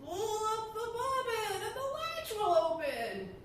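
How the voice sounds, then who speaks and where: A woman's voice: very high voice, big pitch swings, slow pace, fairly bright tone, heavy reverb, far from the mic, slight background noise, voice pushed up, full character voice. A woman voicing a sly, hungry male wolf from a fairy tale, teasing and drawn out.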